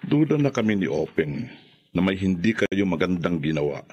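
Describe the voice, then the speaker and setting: An actor playing a stern, troubled older village father in a radio drama, speaking gravely and accusingly. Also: sits low, big pitch swings, moderate pace, darkish tone, muffled recording, slight background noise. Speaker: father confronting his son's friends.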